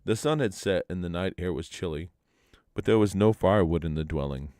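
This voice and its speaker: A man's voice: low voice, expressive, brisk, dark tone, no room sound, clean studio sound. A man narrating a traditional legend aloud as a storyteller, in a live reading. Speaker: storyteller and reader.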